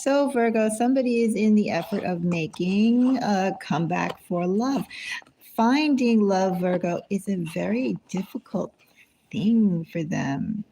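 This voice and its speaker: Woman, tarot reader, slow and rambling, thinks aloud, casual chat. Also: mid-range voice, mellow, a little hiss or hum. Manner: chatty and meandering, thinking aloud, asking herself questions. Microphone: phone.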